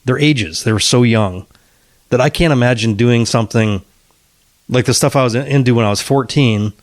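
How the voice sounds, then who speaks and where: A man of middling pitch talks on a podcast in a dry, close sound. He picks his way through sentences, restarting often and dropping the odd casual curse. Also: normal speed, no echo. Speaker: podcast co-host. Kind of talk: casual chat about music.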